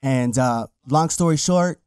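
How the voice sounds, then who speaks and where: A male actor guest on a radio show, telling a relaxed story about landing his role. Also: mid-range voice, unhurried, clear, resonant tone, no echo, clean studio sound. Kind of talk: casual interview chat. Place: radio studio.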